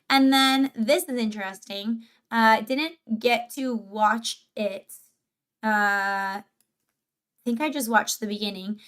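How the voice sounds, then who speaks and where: A woman's voice: mid-range voice, pushed and bright. A woman hosting a livestream, giving casual, opinionated reaction commentary in an emphatic way with rhetorical questions. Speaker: livestream host.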